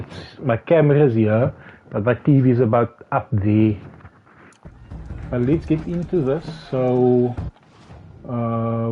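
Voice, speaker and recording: A slightly hoarse man commentating his game live, talking haltingly with many restarts and fillers. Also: mid-range voice, a little hiss or hum. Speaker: game streamer. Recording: tinny phone-like sound with faint music.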